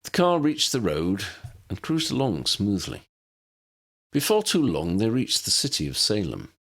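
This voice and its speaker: A man's voice: lowish voice, huge pitch swings, unhurried, a bit bright, breathy edge. A man reading a scripted story aloud as an audiobook narrator.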